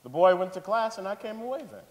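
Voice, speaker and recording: A man's voice: high-pitched, big pitch swings, quick pace. A man playing an earnest father giving testimony in a staged 1890s courtroom drama reading. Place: large theater stage, indoors. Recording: hall reverb from a theater stage.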